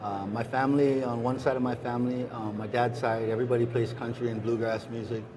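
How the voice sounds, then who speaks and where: A middle-aged man from Hawaii talks through his musical past in an easygoing, meandering way, full of ums and second starts. His voice sits at an ordinary middle pitch. A loud crowd murmurs behind him the whole time. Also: fairly quick. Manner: relaxed, rambling with many ums and restarts. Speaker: musician guest. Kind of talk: casual interview answers. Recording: busy crowd noise behind.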